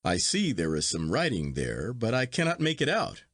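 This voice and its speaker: A man voicing a curious, self-assured younger gentleman in a storybook reading, exclamatory and inquisitive. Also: medium pitch, wildly swooping pitch, moderate pace, dry recording, clean studio sound. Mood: excited, amazed. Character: eager, curious younger gentleman, excitable and sure of himself. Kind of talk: acted dialogue in storybook reading.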